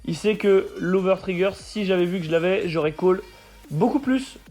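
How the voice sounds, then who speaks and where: A man with a hoarse voice from a cold, commentating a card game match he played and explaining each play step by step. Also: high-pitched, moderate pace, darkish tone, faint music behind. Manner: explains plays step by step with frequent fillers.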